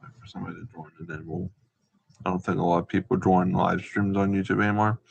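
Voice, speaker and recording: Deep-voiced man rambling on a livestream. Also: little pitch movement, quick pace, gravelly, slight background noise. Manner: hesitant, rambling, repetitive. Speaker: live stream host. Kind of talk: casual unscripted livestream chat. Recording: dull, roomy livestream audio.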